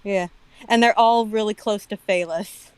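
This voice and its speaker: A woman's voice: mid-range voice, steady tone, unhurried, smooth, dry recording, rough recording. A woman giving casual, wry and critical commentary as a guest on an online call.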